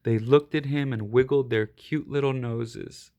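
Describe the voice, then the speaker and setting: A man reading a children's picture book aloud expressively, leaning on key words with deliberate, dramatic emphasis. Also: mid-range voice, steady tone, dark tone, slight background noise. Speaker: storybook reader.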